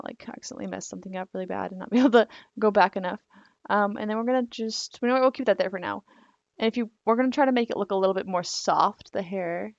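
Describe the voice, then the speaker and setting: A young woman talks through a digital drawing tutorial in a rambling, filler-filled way. Her voice is gravelly and croaky because she is losing it. The recording is dry and a little dull, like a home desk mic. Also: medium pitch, no room sound. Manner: rambling, filler-heavy, apologetic. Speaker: digital art tutorial host.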